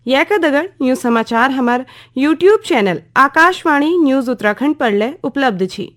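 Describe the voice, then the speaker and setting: High-voiced Kumaoni woman radio announcer. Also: expressive, normal speed, a bit bright, faint music behind. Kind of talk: scripted station announcement.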